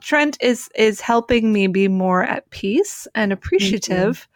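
A woman's voice: medium pitch, lively intonation, measured pace, no echo, studio quality. A woman co-hosting a podcast, talking casually and reflectively, explaining her thoughts in an articulate way. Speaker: podcast co-host. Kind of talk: casual conversation, reflective commentary.

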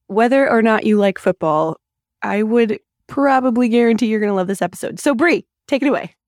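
A woman's voice: fairly high pitch, expressive, a little fast, darkish tone, dry recording. A woman hosts a chatty podcast from home. She sounds upbeat and eager as she introduces things. The sound is close and dry. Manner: upbeat and enthusiastic. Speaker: podcast co-host. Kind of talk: casual chat.

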